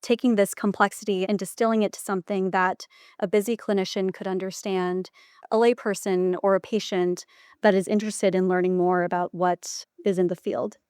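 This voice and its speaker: A woman speaks as a podcast guest with a clear, resonant voice at medium pitch. She explains her work reflectively, in a dry recording. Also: level tone, normal speed, a little mellow, no room sound. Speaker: clinician and designer, podcast guest. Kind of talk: reflective interview talk.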